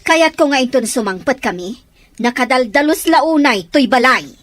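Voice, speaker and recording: Woman acting harsh, high-voiced bossy aunt. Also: lively intonation, moderate pace, fairly bright tone, no echo. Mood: bossy, scolding. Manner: curt, commanding. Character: harsh, bossy aunt ordering a child around. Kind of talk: radio drama acting in Ilocano.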